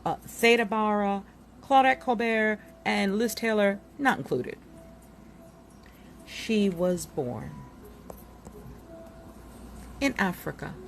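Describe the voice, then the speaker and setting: A woman giving an expressive, deliberate reading of an illustrated book as a narrator, with dramatic delivery. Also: mid-range voice, slight background noise, low-quality recording. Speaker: reader presenting a book chapter. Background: music.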